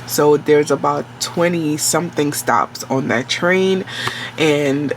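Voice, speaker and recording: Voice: medium pitch, a little hiss or hum. A vlogger, telling a work story in a casual, rambling way, sounding nasal from a cold. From New York City. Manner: slow, rambling and conversational, with many fillers and self-corrections.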